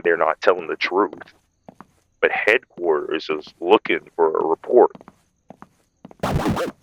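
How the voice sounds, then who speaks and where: Man acting a deep-voiced, weary vampire hunter. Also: a bit bright, dry recording. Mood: weary, resigned. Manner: matter-of-fact, weary reporting. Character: frustrated, businesslike male vampire hunter on assignment. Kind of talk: audio roleplay acting. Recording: dull, muffled lo-fi sound.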